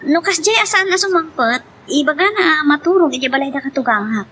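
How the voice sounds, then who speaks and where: A woman's voice: high-pitched, animated, a little fast, sharp tone, slight background noise. A woman acting a fretful, emotional young wife and mother in an amateur drama, with an Ilocano Filipino accent. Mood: subdued, worried.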